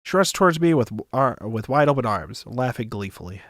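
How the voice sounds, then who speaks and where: A man's voice: medium pitch, expressive, a little fast, no echo, clean studio sound. A man streaming, reading a visual novel aloud expressively with casual asides.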